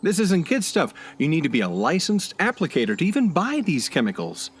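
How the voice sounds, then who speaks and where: An actor in a radio play voicing a breezy, self-assured man who bluffs expertise in a folksy, smooth-talking way. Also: fairly high pitch, huge pitch swings, fairly quick, full character voice. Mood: folksy, cocky. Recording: faint outdoor bird and insect ambience.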